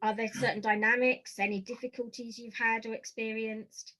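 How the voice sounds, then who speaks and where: A woman's voice: fairly high pitch, flat delivery, normal speed, bright, forceful tone, low-quality recording. A woman hosting a podcast, asking questions in a conversational interviewing style.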